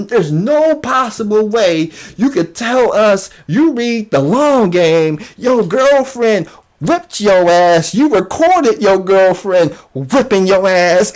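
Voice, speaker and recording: Slow, emphatic online commentator. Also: medium pitch, expressive, a little mellow. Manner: emphatic, repetitive, incredulous. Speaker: YouTube commentator. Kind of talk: mocking call-out commentary.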